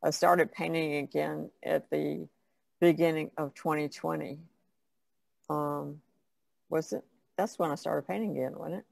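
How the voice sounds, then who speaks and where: A woman's voice: sits low, steady tone, a little slow, gravelly, long pauses, dry recording. A woman of seventy chatting casually as a guest on an art podcast. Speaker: podcast panelist, artist. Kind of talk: casual chat.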